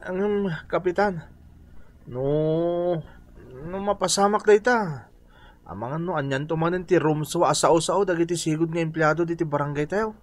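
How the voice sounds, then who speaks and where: A man's voice: on the high side, moderate pace, natural voice. Man acting a humble Filipino village volunteer. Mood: hesitant, grateful. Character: humble, deferential village volunteer without steady work. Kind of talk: Filipino radio drama acting.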